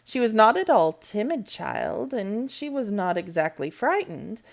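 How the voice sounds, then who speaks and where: A woman reading a classic novel aloud as storybook narration, in a deliberate, measured manner. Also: medium pitch, wildly swooping pitch, gravelly. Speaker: storybook reader. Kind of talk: read-aloud audiobook narration.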